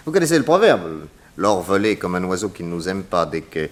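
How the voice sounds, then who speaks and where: Casting: confident, inquisitive detective, fairly low voice, very lively intonation, classic 1960s radio drama. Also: male voice, moderate pace. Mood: feigned casualness. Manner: courteous, inquisitive, theatrical. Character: shrewd, probing, confident American male detective. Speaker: actor in a detective radio serial.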